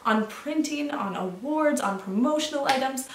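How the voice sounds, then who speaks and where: A woman's voice: sits high, animated, normal speed, background noise. A woman in her thirties from Canada, casually listing membership benefits to camera in an enthusiastic, off-the-cuff promotional talk, recorded in a small indoor room. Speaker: association representative. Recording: roomy echo, slightly distant from mic.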